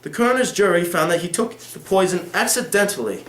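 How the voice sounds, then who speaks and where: A man reading the part of a calm, discreet lawyer who speaks formally and meditatively in a novel. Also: high voice, a little fast, voice pushed up, natural voice. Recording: echoey room.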